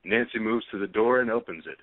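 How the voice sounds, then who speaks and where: Low-voiced man narrating on a narrow line. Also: fast, no echo. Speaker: narrator reading stage directions. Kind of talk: scripted narration.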